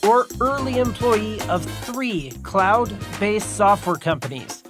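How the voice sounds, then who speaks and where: A man hosting a podcast, reading a measured introduction and asking interview questions. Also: high-pitched, a bit bright. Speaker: podcast host.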